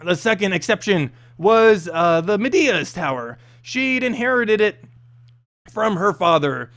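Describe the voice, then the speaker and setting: A man's voice: high voice, animated, a little slow, a bit bright. A man reading a scripted fantasy story as narrator, expressively, with an occasional stumble over the text.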